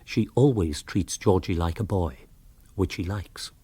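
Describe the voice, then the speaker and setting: An actor playing a wry, urbane, gently mocking author who narrates a comic radio drama in an English accent. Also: male voice, low voice, measured pace, breathy edge, dry recording.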